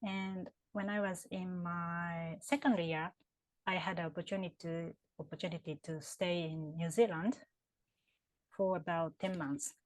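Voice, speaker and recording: A woman's voice: fairly low pitch, fairly even intonation, unhurried, no room sound, low-quality recording. A woman with a Japanese accent, working in HR consulting, answers interview questions thoughtfully, with many fillers and restarts. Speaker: HR consultant and career counselor.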